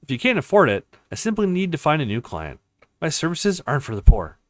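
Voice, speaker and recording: A cocky retired mercenary woman from a visual novel, acted out by a man. She is haughty and taunting, with very animated pitch. The sound is dry. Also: fairly quick, no room sound. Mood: haughty, bargaining.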